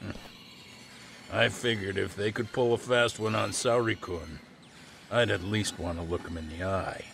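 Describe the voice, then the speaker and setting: A man playing a gruff, old-fashioned senior lawyer in an English-dubbed crime game. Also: on the low side, fairly even intonation, a little slow, a bit bright, faint music behind.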